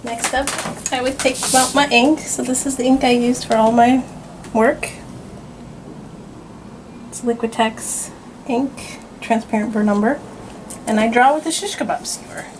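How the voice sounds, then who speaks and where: A woman's voice: on the high side, fairly even intonation. Woman giving a studio tour, noisy background. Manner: unhurried, explanatory. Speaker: author and illustrator. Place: home art studio. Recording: noticeable background noise.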